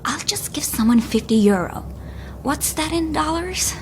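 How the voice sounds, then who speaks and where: A high-pitched, fretful young female tourist frets melodramatically in a horror comedy drama. She is mortified and self-dramatising. There is faint noise behind the voice. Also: expressive, moderate pace. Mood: anxious muttering. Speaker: tourist on a coach. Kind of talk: scripted audio horror comedy acting.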